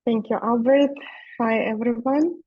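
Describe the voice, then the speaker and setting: A woman's voice: fairly high pitch, measured pace, dry recording. A woman from Russia with a Russian accent presents in a webinar, speaking English as a second language. She hesitates often and pads her sentences with fillers. The audio sounds dull and lo-fi, with a dry, close feel. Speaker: guest speaker.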